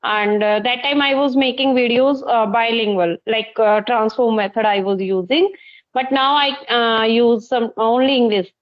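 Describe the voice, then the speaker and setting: A woman with an Indian accent hosts a relaxed practice conversation, speaking in a slightly high voice. She pauses often on "uh" as she searches for words. The recording sounds dull and lo-fi. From Haryana, northern India. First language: Hindi. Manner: hesitant, many uh fillers. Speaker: teacher and channel host.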